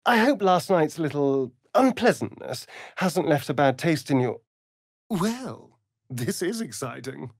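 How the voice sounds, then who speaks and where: An actor playing a sly, vain, sardonic vampire rogue in a video game, with an arch, theatrical drawl. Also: male voice, mid-range voice, vocal fry, breathy edge, no echo, clean studio sound, full character voice. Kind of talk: video game character voice lines.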